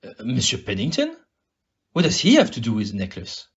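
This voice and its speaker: A man's voice: medium pitch, wildly swooping pitch. Guy playing a fancy detective, super sing-song delivery, polite and self-assured, kinda dull recording. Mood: intrigued. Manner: courteous, deliberate, pompous. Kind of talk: amateur audio play acting.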